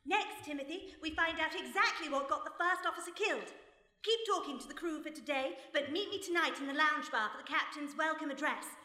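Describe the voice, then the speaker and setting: Woman as a high-voiced, brisk lady detective. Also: sharp tone. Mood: brisk, composed. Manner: brisk, articulate, dryly proper. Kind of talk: live comedy radio play acting. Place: stage in a hall before a live audience. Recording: echoey hall, live stage recording.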